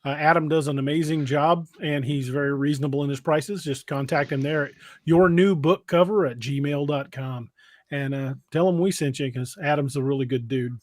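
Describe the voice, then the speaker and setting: A man's voice: a little high, fairly even intonation, moderate pace, a little mellow, no echo, clean studio sound. A man hosting a podcast and chatting casually in a rambling, plain-spoken way. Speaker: podcast host and author. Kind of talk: casual livestream chat.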